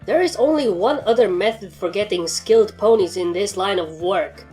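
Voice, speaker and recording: A woman's voice: medium pitch, normal speed, a little mellow, faint music behind, lightly acted. A woman voicing a blunt, battle-hardened pony trainer in a story, with a dry, sarcastic way of talking. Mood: sarcastic, defensive.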